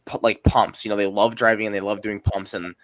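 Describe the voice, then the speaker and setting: Young firefighter guy hosting a podcast, lowish voice, lots of ums, dry close sound. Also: level tone, brisk, no room sound. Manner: hesitant, many ums and you-knows. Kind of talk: casual interview talk.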